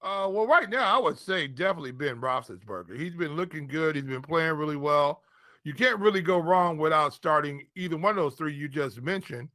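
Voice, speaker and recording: A man's voice: fairly high pitch, animated, a little fast, bright, forceful tone, no echo. A man co-hosting a sports show in casual chat, informal and colloquial, speaking straight to the listeners. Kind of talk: casual fantasy football chat.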